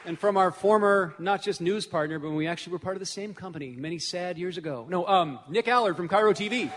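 High-pitched man hosting in a noisy, echoing hall. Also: fast talker, crowd noise. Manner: chatty, rambling asides. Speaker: event host introducing a cast. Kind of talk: casual off-the-cuff stage introductions. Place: large church hall, on stage. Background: audience and room noise.

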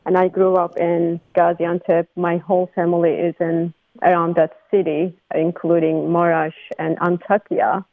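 A woman from Turkey with a Turkish accent, speaking as a guest on a news radio phone interview, halting with many fillers and restarts. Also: lowish voice, level tone, creaky, dry recording. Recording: phone line.

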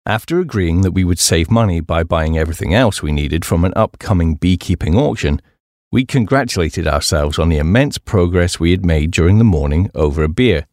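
A man with a fairly low voice narrates a humorous memoir for an audiobook. His delivery is measured and articulate, with lively movement in pitch. The recording is dry and close, free of room sound. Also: dry recording.

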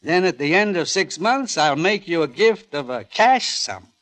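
An actor playing a cold, vindictive husband with a sardonic, deliberate, theatrical delivery in a 1950s radio drama. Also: male voice, on the high side, big pitch swings, fairly bright tone. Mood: smug, calculating.

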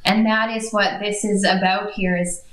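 A woman's voice: medium pitch, steady tone, moderate pace, a bit bright. A woman co-hosting a Bible study discussion, earnest, reading scripture aloud and posing questions. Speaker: co-host. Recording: echoey room, far from the mic.

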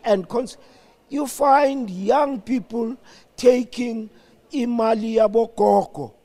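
An older man with a South African accent in a reflective political discussion, deliberate and halting, with emphatic stresses. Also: extremely high pitch, dark tone. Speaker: interview guest.

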